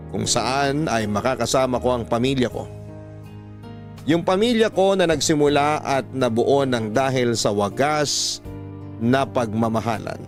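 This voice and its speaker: A man narrates a radio love story in a dramatic, questioning teaser style, his pitch mid-range. Faint music plays beneath his voice. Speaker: narrator and host.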